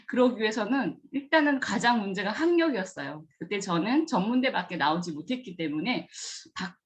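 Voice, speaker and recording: A middle-aged woman, a kindergarten director giving an earnest storytelling career talk to students. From rural Gyeongsang region of Korea. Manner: earnest, acts out remembered dialogue with lively pitch jumps.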